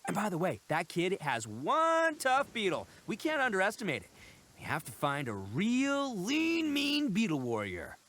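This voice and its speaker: Loud-mouthed cocky oni dude from a game, high for a man, super swoopy, slangy and theatrical. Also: huge pitch swings, moderate pace, slight background noise, full character voice. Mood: smug, confident. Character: boastful, boisterous young male oni with childlike enthusiasm. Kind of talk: animated video game voice acting.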